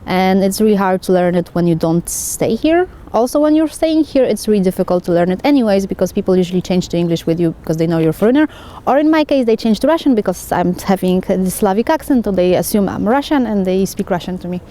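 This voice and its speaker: A woman's voice: medium pitch, lively intonation, fast, hardly any pauses, warm tone. A woman living abroad, answering interview questions in a thoughtful, casual way with frequent "like" fillers. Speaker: foreign resident interviewee.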